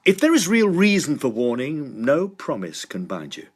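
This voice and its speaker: A man's voice: on the high side, wildly swooping pitch, moderate pace, breathy edge, heavily acted. A man playing a sceptical, self-assured young student in a period drama, with formal, articulate phrasing. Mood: dismissive, confident. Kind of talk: dramatised first-person period narration and dialogue.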